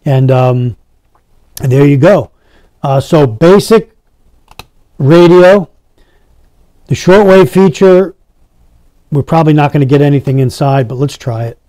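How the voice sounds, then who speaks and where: A man's voice: a little high, lively intonation, measured pace, pauses often, warm tone, no echo. A man giving a casual hands-on product demonstration as a reviewer, talking to his viewers. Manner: addresses viewers as guys.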